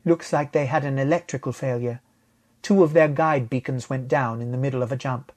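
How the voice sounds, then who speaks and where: A man voicing a practical, watchful female spaceship companion in an audiobook, brisk and matter-of-fact. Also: medium pitch, steady tone, a little mellow, pitched above the performer's own voice, lightly acted.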